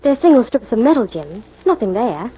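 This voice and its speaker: A woman's voice: a little high, sing-song, normal speed. An actress playing an outspoken, curious female sleuth's assistant, forthright and eager, in an old radio mystery. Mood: attentive, practical. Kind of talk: 1940s radio mystery acting. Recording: muffled, noisy old radio recording.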